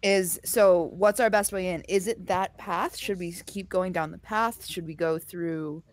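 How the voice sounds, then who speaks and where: A woman's voice: lowish voice, fairly even intonation, measured pace. A woman chatting casually as a player in a tabletop role-playing game. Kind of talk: casual chat.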